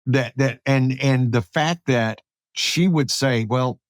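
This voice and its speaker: An American man hosting a podcast, talking politics casually in a halting way, repeating words as he goes. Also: mid-range voice, dry recording, clean studio sound. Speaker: podcast host.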